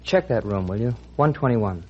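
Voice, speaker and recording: A drowsy night clerk played by an actor at a hotel in a vintage radio drama. His voice sits low, and the old recording is dull with some noise. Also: expressive, normal speed, lightly acted. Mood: puzzled.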